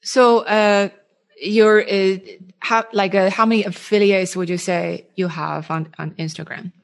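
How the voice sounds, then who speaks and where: Woman interviewer, halting casual questions. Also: mid-range voice, fairly even intonation, vocal fry. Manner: halting, rephrases questions midway.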